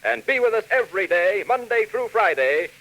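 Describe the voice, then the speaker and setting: A man's voice: high-pitched, expressive, moderate pace, a bit bright, poor audio. An American man announcing a 1940s radio serial with dramatic, urgent scripted narration.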